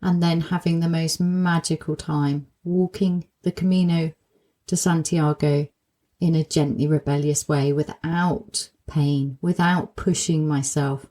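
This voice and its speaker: Croaky-voiced woman podcast host, mellow tone. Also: low voice, steady tone, a little slow. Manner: warm-hearted, musing, self-questioning. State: slightly croaky voice from a cold. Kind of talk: reflective solo podcast monologue.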